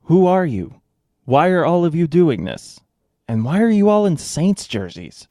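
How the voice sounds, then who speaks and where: A man's voice: a little high, sing-song, a little slow, warm tone, dry recording, clean studio sound. A man playing an ordinary, beleaguered restaurant owner in a radio drama. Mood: bewildered.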